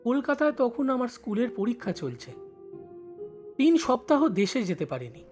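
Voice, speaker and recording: A man playing a village schoolboy who studies in the city, telling his story in expressive first-person narration. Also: very high voice, moderate pace, soft background music. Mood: suspenseful recounting. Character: village schoolboy studying in Kolkata, recalling his life.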